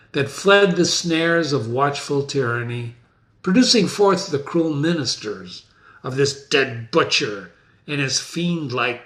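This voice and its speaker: A man's voice: medium pitch, fairly bright tone. A man playing a gracious, resolute new king in a formal, oratorical Shakespeare reading. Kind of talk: dramatic reading of a Shakespeare play. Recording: echoey room.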